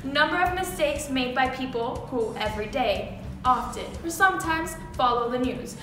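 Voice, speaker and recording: High female presenter, teacherly; echoey room from afar, music beneath. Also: lively intonation, moderate pace, distant mic. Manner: explaining, teacherly, with asides. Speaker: mock statistician guest. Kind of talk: scripted explanatory presentation.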